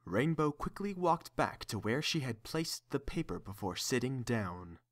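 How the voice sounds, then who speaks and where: A man's voice: medium pitch, sing-song, a little slow. A man reading scripted third-person story narration as the narrator of an audio drama.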